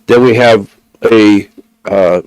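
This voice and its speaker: A man's voice: lowish voice, steady tone, slow, a little mellow, dry recording, low-quality recording. A man hosting a live psychic radio show, chatting in an easygoing way with many ums and restarts. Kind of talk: casual chat. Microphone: live-stream studio mic.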